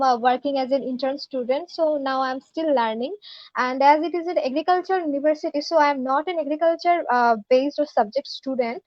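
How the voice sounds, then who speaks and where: A woman, an intern student answering interview questions earnestly, pausing often with fillers. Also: high voice, no echo, dull audio. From Bangladesh. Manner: earnest, hesitant with frequent filler pauses. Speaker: guest, veterinary intern student.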